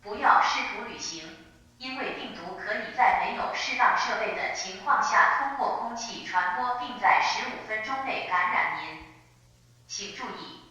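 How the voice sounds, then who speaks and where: A woman's voice: mid-range voice, pushed and bright. A woman reads a scripted emergency alert as a broadcast announcer, formal and impersonal. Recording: dull, heavily echoing broadcast sound.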